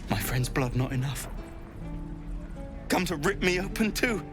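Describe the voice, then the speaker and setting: A man's voice: fairly high pitch, animated, a little slow. An actor voicing a frightened, hostile man who lost a friend, jumpy and tense, in a video game. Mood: afraid. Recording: game audio with music behind.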